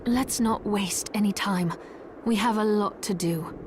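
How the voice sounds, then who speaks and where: A woman's voice: mid-range voice, unhurried, noisy background, natural voice. A woman playing a composed, courteous but firm church merchant in a video game. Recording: loud music behind the voice.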